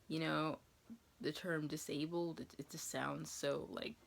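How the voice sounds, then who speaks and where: A young American woman in her twenties with a lowish voice full of creak. She talks casually to her viewers, reading questions and answering them in a rambling, hesitant way, as if thinking out loud. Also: little pitch movement, measured pace. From New England, USA. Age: 20s.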